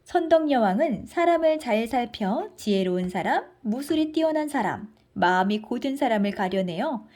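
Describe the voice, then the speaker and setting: A woman narrating a children's story from a script, in an expressive storytelling manner. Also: fairly high pitch, moderate pace, hardly any pauses.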